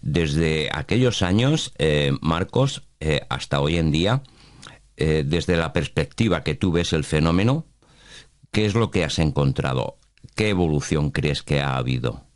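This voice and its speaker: A male radio interviewer with an extremely deep voice. He frames questions for his guest in halting phrases, with pauses between them. The sound is dry, with very little room. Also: expressive, dry recording.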